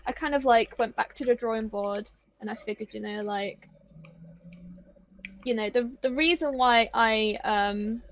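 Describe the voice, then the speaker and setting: A woman's voice: on the high side, measured pace, fairly bright tone, a little hiss or hum. A woman whose first language is Polish, chatting as a streamer guest on a podcast, shy and rambling with many fillers and restarts. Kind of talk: casual podcast chat.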